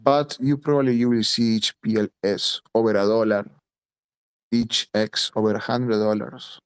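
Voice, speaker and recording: A man's voice: fairly low pitch, steady tone, moderate pace, smooth, no echo. A man from Italy speaking English as a second language, blunt and emphatic, swearing and repeating points in a casual voice chat. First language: Italian. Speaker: voice chat participant.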